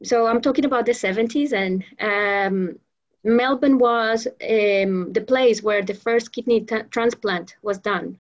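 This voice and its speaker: Female guest from Venezuela, Spanish first language, slightly high pitch, halting delivery, dull lo-fi recording. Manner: hesitant, many fillers and restarts. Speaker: guest, professional trainer and mentor. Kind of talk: interview conversation.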